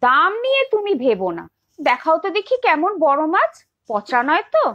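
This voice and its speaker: A woman's voice: very high-pitched, huge pitch swings, normal speed, dull audio, heavily acted. A woman voicing an eager, anxious young woman in a children's cartoon, pleading and insistent. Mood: eager haggling. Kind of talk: exaggerated children's cartoon acting.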